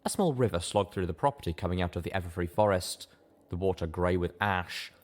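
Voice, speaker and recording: A man's voice: low-pitched, brisk. A man narrating a scripted adventure story in a dramatic reading style.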